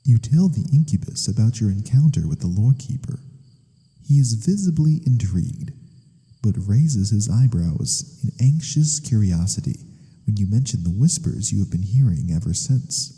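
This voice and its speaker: A man narrating a fantasy story in a soft-spoken ASMR style, as a storyteller. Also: fairly low pitch, animated, normal speed, big-room sound, poor audio.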